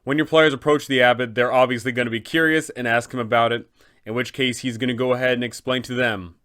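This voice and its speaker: Man, game master host, medium pitch, casual explainer, conversational tabletop gaming guide. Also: fairly even intonation, quick pace, a bit bright. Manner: conversational, rhetorical questions.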